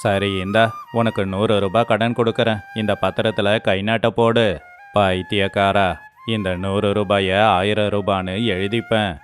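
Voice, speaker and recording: Man acting a sneering village moneylender, low voice. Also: little pitch movement, normal speed, soft background music, lightly acted. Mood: sly, mocking. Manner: sneering, domineering. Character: greedy, mocking village moneylender, a cunning cheat. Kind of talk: dramatized moral story voice acting.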